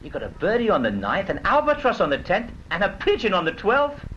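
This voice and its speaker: A man's voice: high voice, wildly swooping pitch, fairly quick, fairly bright tone, full character voice. On a dull, faintly hissy 1970s film track, a chatty businessman. His casual, bragging talk swoops dramatically up and down, a man in training film drama. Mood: jovial.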